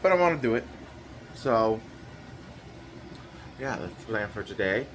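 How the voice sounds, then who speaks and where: A man's voice: lowish voice, expressive, a little slow, noisy background. A young man chatting casually through his daily vlog plans, a little nasal and rambling with lots of fillers. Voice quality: slightly nasal. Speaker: vlogger.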